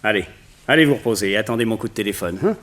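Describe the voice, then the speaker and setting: A cold, imperious master villain in an old radio play. His low voice gives orders and puts questions with total authority over his victim. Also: expressive, very fast, fairly bright tone. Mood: commanding. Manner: imperious, interrogating, commanding. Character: cold domineering male villain, a hypnotist master giving orders. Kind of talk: 1960s French radio drama acting.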